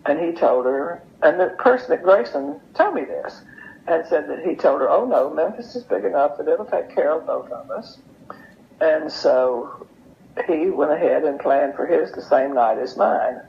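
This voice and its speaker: A woman's voice: sits low, lively intonation, moderate pace, gravelly. An older woman, telling anecdotes in a casual, chatty way as an interview guest. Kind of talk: casual storytelling. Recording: muffled lo-fi audio with noise.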